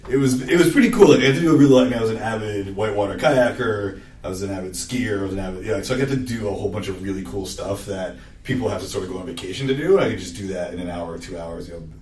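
A man's voice: fairly low pitch, racing, hardly any pauses, roomy sound, distant mic. A man from Maine, a podcast guest, chatting casually and jokingly about where he grew up. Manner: relaxed, joking, trails off and restarts. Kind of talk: casual interview chat. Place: large conference room with windows.

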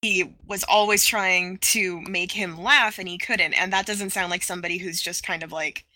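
A woman in a casual podcast discussion, talking in a halting way and often repeating her words as she works out a point. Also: fairly low pitch, fairly even intonation, sharp tone, dry recording. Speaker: podcast panelist. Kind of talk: casual animated-show discussion.

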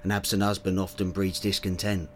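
An actor playing a menacing blackmailer in an audio drama, insinuating and mockingly courteous. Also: male voice, low voice, little pitch movement, fairly quick, slight background noise, lightly acted. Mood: mocking menace. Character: smooth, menacing male blackmailer, mockingly polite. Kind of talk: radio drama dialogue.

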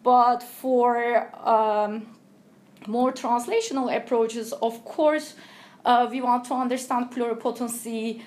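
A woman's voice: fairly high pitch, steady tone, darkish tone. Woman, careful explainer. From Turkey. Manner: careful, explanatory, thoughtful pauses. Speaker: guest researcher. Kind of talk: interview answers.

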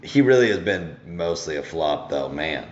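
A young man with a very deep voice that still swings up and down in a lively way, riffing laid-back beside his co-host. The room is echoey and the sound dull and lo-fi, with a faint hum underneath. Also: moderate pace. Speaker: podcast co-host. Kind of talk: casual chat.

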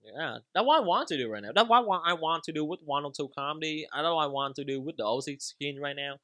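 A young man from Vietnam, guesting on a comedy podcast. His voice is bright and a little high, recorded dry and close. He stammers through restarts and swears freely, peppering everything with "bro". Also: expressive, fast, dry recording. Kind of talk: casual podcast chat.

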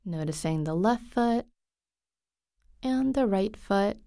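Slow, soft-spoken woman guiding meditation. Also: mid-range voice, vocal fry, dry recording, clean studio sound. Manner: soft, calm, unhurried, with frequent pauses. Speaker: guided meditation teacher. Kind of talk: guided body scan meditation.

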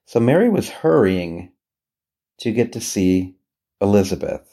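A man speaking as a priest leading a guided scripture meditation, gentle and unhurried, with long pauses. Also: fairly low pitch, very animated.

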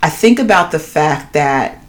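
A woman with a deep voice co-hosting a podcast in casual chat, talking emphatically and filling her speech with "you know.". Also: measured pace. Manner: emphatic, animated, many "you know" fillers. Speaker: podcast co-host.

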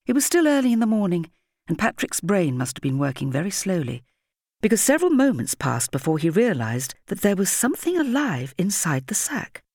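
A woman narrating a children's audiobook in an expressive, dramatic storytelling manner with varied emphasis. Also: medium pitch, fairly quick, dry recording, studio quality.

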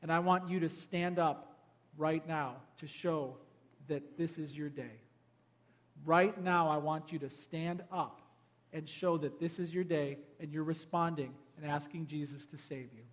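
A pastor delivering an altar call sermon, urging and pleading, swinging from loud appeals to hushed, quiet invitations. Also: male voice, a little high, steady tone, a little slow. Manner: urgent, pleading, swells loud then drops to a hush.

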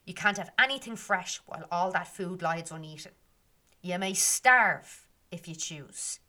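A woman's voice: fairly low pitch, animated, measured pace, sharp tone. A woman voicing a band of small fairies who scold and give orders. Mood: mocking. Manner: scolding and commanding.

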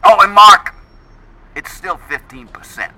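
An actor playing a blustery, loyal older grandfather and fixer, brisk, emphatic and wry, in an audio drama. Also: high voice, expressive, sharp tone, heavily acted. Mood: firm, loyal. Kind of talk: naturalistic audio drama acting.

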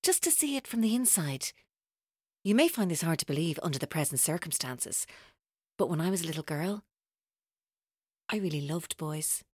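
A woman's voice: sits low, expressive, normal speed, long pauses, husky, dry recording, clean studio sound. An actress playing a menacing, taunting woman captor in an audio drama, mocking and theatrical, shifting between playful and bitter. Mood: wistful, playful. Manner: theatrical, mocking, mercurial, intense.

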